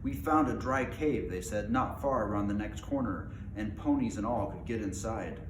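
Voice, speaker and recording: A man's voice: fairly low pitch, moderate pace, close to an everyday voice. A man voicing an eager, offhand young dwarf scout in a storybook. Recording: echoey room with clear background noise.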